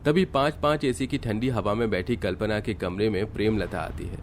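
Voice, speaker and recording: A man giving scripted narration for an animated family story. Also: lowish voice, moderate pace, slight background noise.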